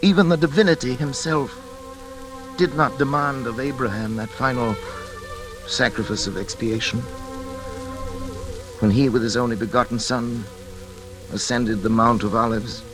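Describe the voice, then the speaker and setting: An actor playing an anguished middle-aged scientist father, obsessive and guilt-ridden, in halting, intense 1940s radio drama style. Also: medium pitch, fairly even intonation, close to an everyday voice, poor audio. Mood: guilt-stricken, solemn. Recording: old radio recording with music behind.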